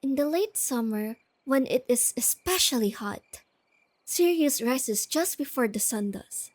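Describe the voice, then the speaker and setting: Woman acting breathy, high-voiced shy nerdy girlfriend. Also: lively intonation, dry recording. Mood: playful, fond. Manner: soft-spoken, gentle, breathy, unhurried explaining. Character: shy nerdy young girlfriend, sweet, earnest stargazing enthusiast. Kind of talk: soft-spoken ASMR roleplay.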